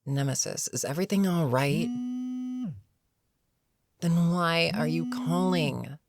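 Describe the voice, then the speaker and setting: A voice actor playing an older, experienced villain in an audio roleplay, weary and dryly sarcastic but reluctantly protective, with a wry, deliberate delivery. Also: medium pitch, gravelly. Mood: exasperated, wry. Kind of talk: intimate audio roleplay monologue.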